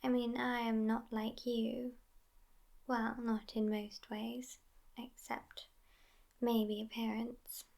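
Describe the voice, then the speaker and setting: Slow, whispering vampire girlfriend, acted by a woman. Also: a little high, level tone. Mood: teasing, secretive. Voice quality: breathy whisper. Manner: unhurried, soft, intimate whispering. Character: sassy, outspoken immortal vampire girlfriend, world-weary and teasing. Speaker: ASMR roleplay performer. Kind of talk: whispered ASMR roleplay.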